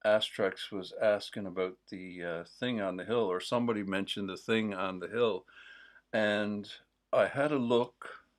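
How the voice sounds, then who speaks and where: A man with a mild Newfoundland accent, hosting a livestream in a casual, rambling, digressive chat full of pauses. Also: fairly low pitch. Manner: digressive, with many pauses and fillers. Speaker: host of a livestream.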